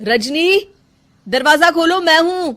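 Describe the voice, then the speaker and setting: A woman's voice: extremely high pitch, expressive, slow, a bit bright, full character voice. A woman voicing a concerned, insistent young husband in a cartoon moral story. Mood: concerned, reproachful. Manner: earnest, insistent. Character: concerned, questioning young husband and dutiful son.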